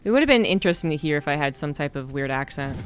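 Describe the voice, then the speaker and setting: A man's voice: a little high, fast, faint music behind. A man streaming a game, chatting casually and rambling with lots of fillers. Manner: rambling, relaxed, many fillers. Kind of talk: casual gameplay chat.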